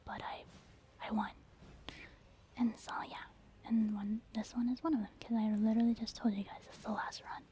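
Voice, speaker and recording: A woman giving casual, rambling game commentary in a soft, breathy ASMR whisper, with an encouraging manner. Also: a little high. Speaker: gaming ASMR creator.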